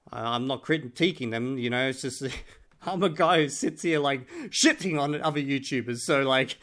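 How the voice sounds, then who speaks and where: A man's voice: medium pitch, a bit bright. Blunt, sarcastic man. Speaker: reaction video host. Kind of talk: casual reaction commentary.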